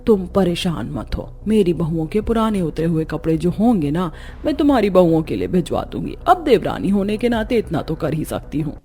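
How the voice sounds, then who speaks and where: A woman's voice: lowish voice, sing-song, normal speed, few pauses, mellow, a little hiss or hum. Haughty rich mother-in-law, played by a woman. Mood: mocking pity. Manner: condescending, taunting. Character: boastful, haughty wealthy middle-aged mother-in-law. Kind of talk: Hindi cartoon story voice acting.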